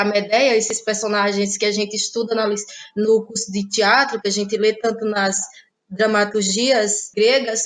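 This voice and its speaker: A woman from Brazil, reflectively telling about her own work as an interview guest. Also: a little high. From Ceará, Brazil. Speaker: interviewed playwright and actress. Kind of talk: conversational personal account.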